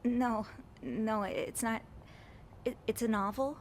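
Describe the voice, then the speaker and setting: A woman's voice: on the high side, fairly even intonation, slow pace, a little hiss or hum. A woman playing a young hotel maid who speaks earnestly and a bit hesitantly, somewhat naive. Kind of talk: video game voice acting.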